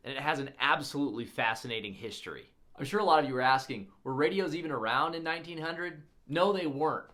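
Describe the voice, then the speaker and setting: A man's voice: medium pitch, fairly bright tone. A man presenting a restoration project as host of a show-and-tell video, speaking in an upbeat, emphatic and enthusiastic way.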